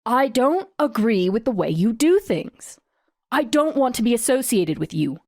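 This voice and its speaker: A woman's voice: fairly high pitch, sing-song, normal speed, a little mellow, no room sound, studio quality. A woman playing a guarded, determined young mother in an audiobook drama, speaking with restraint and firmness. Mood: defiant. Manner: restrained, firm. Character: guarded, determined super-powered mother standing up to ex-husband. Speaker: audiobook voice actor.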